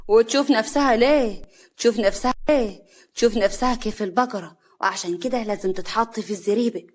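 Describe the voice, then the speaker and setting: A woman's voice: fairly high pitch, fast, a little mellow, lightly acted. A woman voicing an angry, bullying young husband in a story, cruel and threatening, with an Upper Egyptian accent.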